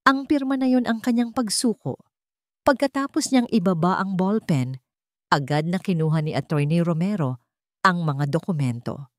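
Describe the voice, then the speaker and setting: A woman's voice: fairly low pitch, normal speed, dry recording, studio quality. A woman narrating a scripted romance story in a dramatic storytelling style.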